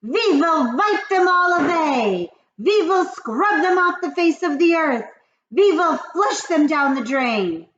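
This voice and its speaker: A woman voicing the Grand High Witch, an imperious, shrieking female witch leader who drags out her commands. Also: lively intonation, a bit bright, reverberant, full character voice. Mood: ranting. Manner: slow, drawn-out, bellowing commands. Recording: dull lo-fi recording.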